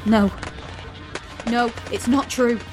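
A woman's voice: a little high, fairly even intonation, slow. A woman playing an anxious, naive teenage girl in a dystopian science fiction audio drama. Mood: distressed. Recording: music under the voice.

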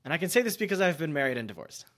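A man hosting a podcast, musing in a relaxed, conversational way and often using fillers. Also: fairly high pitch, very fast. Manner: reflective, musing, with loose fillers like "like" and "you know". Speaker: podcast host. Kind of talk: casual conversational chat.

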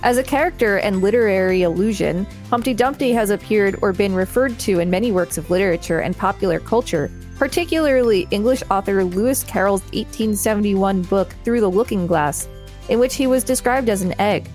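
A woman's voice: mid-range voice, a little fast, a little mellow, faint music behind. A woman co-hosting a podcast, reading reference text aloud with casual remarks. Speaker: podcast co-host. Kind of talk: reading encyclopedia pages aloud with casual commentary.

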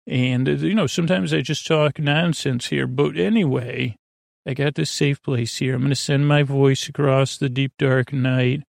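A man hosting a sleep podcast, talking in a slow, rambling bedtime monologue full of fillers, pauses and tangents, with a creaky voice. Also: medium pitch, fairly even intonation, dry recording, clean studio sound.

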